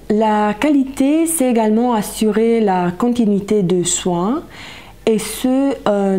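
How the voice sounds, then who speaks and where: A woman with a dark, relaxed voice who speaks slowly. She sets out her points formally, one deliberate phrase at a time, like a spokesperson in a corporate film, with music underneath. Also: mid-range voice. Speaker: hospital quality spokesperson. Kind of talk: corporate presentation interview. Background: background music.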